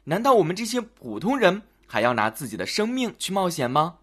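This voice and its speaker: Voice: medium pitch, big pitch swings, a little fast, a bit bright, husky, pitched above the performer's own voice. A storyteller voicing a frightened fairy-tale townsperson spreading rumours in an alarmed, emphatic way. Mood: anxious.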